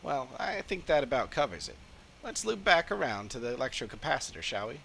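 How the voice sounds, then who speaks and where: A man's voice: mid-range voice, very animated, brisk, vocal fry, a bit bright, slight background noise. A man voicing a cheerful young apprentice engineer from a video game, chatty and explanatory. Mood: upbeat, explanatory. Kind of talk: game dialogue read aloud in character.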